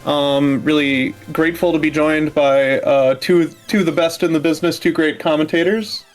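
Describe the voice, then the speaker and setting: A man's voice: a little high, fairly even intonation, moderate pace, soft background music, rough recording. A man competing in a speedrun, casually explaining the game as it goes on.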